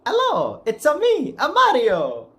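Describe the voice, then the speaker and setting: A man's voice: very high-pitched, wildly swooping pitch, measured pace, vocal fry, fairly bright tone, muffled recording, voice pushed up, heavily acted. A man voicing a cheerful, bouncy Italian video game hero with an Italian accent. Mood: exuberant. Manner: exuberant, bouncy.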